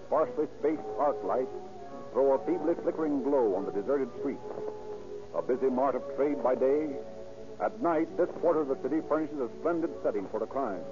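A man with an American accent narrating an old-time radio crime drama in a grave, declamatory manner. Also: mid-range voice. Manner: grave, declamatory, deliberate emphasis. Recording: muffled old radio recording, music behind.

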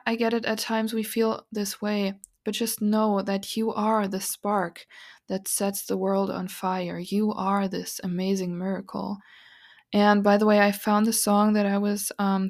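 A woman with a raspy, gravelly voice hosts a spiritual podcast at a middling pitch. She talks in an earnest, encouraging way and rambles loosely with fillers. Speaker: podcast host and psychic reader. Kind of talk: casual spiritual podcast monologue.

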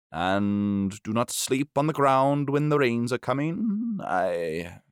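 A man's voice: medium pitch, smooth, no echo, clean studio sound, voice pushed up. A man voicing a kind, mild-mannered doctor in a storybook reading, hesitant and courteous, often murmuring.

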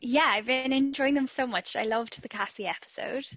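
A woman guest on a podcast, talking in a warm, hesitant way over a video call. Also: on the high side, moderate pace, no room sound. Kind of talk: casual chat. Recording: dry, dull video call audio.

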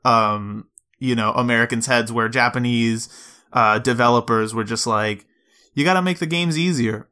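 A man's voice: medium pitch, sharp tone. A man hosting a gaming podcast, chatting in a casual, informal and explanatory way. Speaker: podcast host. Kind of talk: casual podcast chat about retro video games.

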